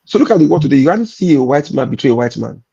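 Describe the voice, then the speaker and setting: A Nigerian man with a gravelly, husky voice, slightly higher than most men. He talks in an emphatic, repetitive way and drops into pidgin. The recording sounds dull and lo-fi. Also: animated, very fast, dark tone. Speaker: comedian. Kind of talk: candid conversational chat.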